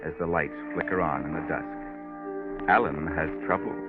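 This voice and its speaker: Very deep, ominous American radio mystery narrator. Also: male voice, a little slow. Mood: gloomy storytelling. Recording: muffled 1940s radio recording, loud music.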